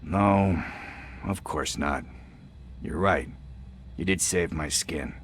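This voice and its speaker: Slippery, low-voiced street informant. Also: male voice, slow, slight background noise. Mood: ingratiating. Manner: hesitant, wheedling, rambling. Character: chatty, slippery, cowardly male street informant. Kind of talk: video game character acting.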